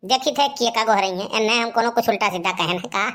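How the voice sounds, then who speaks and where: A woman's voice: fairly high pitch, fast, a bit bright, close to an everyday voice. A woman voicing a cheeky, carefree young village man with an Awadhi accent, protesting in a comedy cartoon. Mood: defiant.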